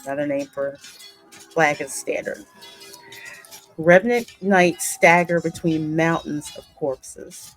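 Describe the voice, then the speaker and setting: Female game host and narrator: deep register, measured pace, dramatic storytelling delivery with conversational asides. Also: soft background music, poor audio. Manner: deliberate, dramatic reading. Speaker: gaming channel host and narrator.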